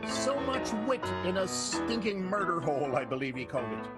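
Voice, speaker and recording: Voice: medium pitch, big pitch swings, normal speed, over loud music. A narrator introducing the characters of an improvised radio soap in a playful announcer style.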